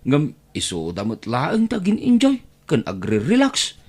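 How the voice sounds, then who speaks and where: A woman playing a put-upon, quietly resentful young relative who works as the family driver, acting in an Ilocano audio drama with an Ilocano accent. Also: rumbling low, huge pitch swings, measured pace, husky, full character voice. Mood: weary, resentful.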